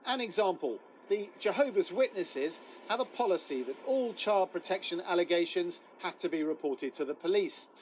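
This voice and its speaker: A man's voice: high voice, lively intonation, moderate pace, few pauses, slight background noise, low-quality recording. A man narrating a scripted television news report as a correspondent.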